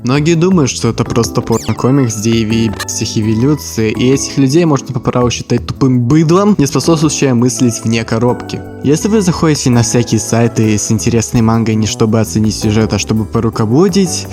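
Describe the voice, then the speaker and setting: A male reviewer narrates in a mid-pitched voice, keeping up a mock-serious essay tone. His phrasing is blunt and full of slang. Music plays clearly behind him throughout. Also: brisk, few pauses. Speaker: video host, reviewer. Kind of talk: tongue-in-cheek video essay narration. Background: background music.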